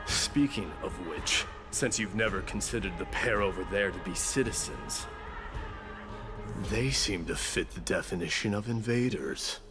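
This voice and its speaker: A man's voice: fairly low pitch, unhurried, lightly acted. A man playing a proud, combative warrior in a video game, speaking in an imperious, declamatory way. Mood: indignant, scornful. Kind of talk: dramatic video game acting. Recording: game music behind the voice.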